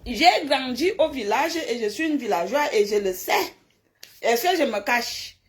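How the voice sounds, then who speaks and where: A woman's voice: on the high side, animated, measured pace. A woman chatting on a live stream, blunt and scolding, emphatic and given to repeating herself. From francophone Africa, grew up in a village. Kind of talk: casual live stream chat, replying to viewers' comments.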